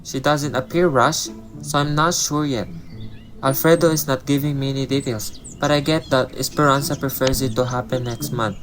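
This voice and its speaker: Man acting as thoughtful father, measured radio drama delivery, medium pitch, clear music underneath. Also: steady tone, close to an everyday voice, rough recording. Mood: calm, musing. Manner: measured, philosophical. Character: calm, thoughtful father of adult children. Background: prominent music and chirping birds.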